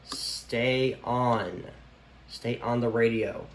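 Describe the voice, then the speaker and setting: A man talking casually off the cuff in a halting, offhand way, showing items from a collection. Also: medium pitch, steady tone. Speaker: vlogger showing a radio collection. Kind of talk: casual unscripted product show-and-tell. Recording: echoey room, background noise.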